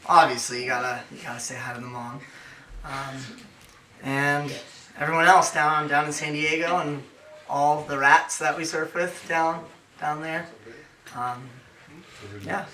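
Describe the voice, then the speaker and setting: A male surfer from Los Angeles speaks in an upbeat, enthusiastic way. His voice is bright and forward. He is heard from a little distance, in a roomy echo with faint background noise. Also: on the high side, level tone, a little slow. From Los Angeles, California. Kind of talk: contest interview.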